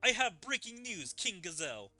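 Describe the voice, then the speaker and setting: A man's voice: high voice, big pitch swings, normal speed, pushed and bright, faint music behind, pitched above the performer's own voice, heavily acted. A man voicing an urgent, dutiful royal messenger in a game, with a formal way of reporting.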